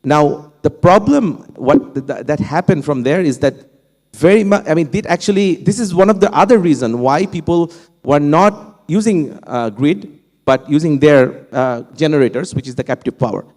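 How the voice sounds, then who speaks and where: A man from Bangladesh, a native Bangla speaker with a Bangladeshi accent, speaking on a panel in a halting way, with many fillers and restarts. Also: on the high side, expressive, a little mellow. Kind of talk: unscripted panel talk. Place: conference hall. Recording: long hall reverb.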